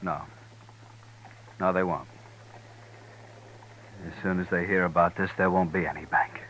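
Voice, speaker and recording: A man playing a ruined, despairing frontier banker, halting and subdued, in a 1950s radio western. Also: low voice, fairly even intonation, fairly bright tone. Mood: hopeless despair. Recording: noisy 1950s radio recording.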